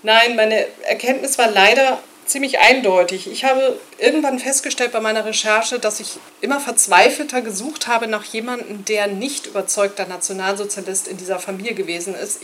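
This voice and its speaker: A woman answering questions at a Q&A session in an earnest, deliberate way. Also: mid-range voice, a bit bright, a little hiss or hum. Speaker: author in a Q&A.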